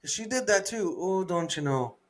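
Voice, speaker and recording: A man with a Southern accent chats on a podcast, his voice sitting a little high and swinging widely in pitch. He laughs often and trails off mid-thought while hunting for a word. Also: normal speed. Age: adult. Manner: animated, laughs often, trails off searching for words. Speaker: podcast co-host. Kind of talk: casual chat.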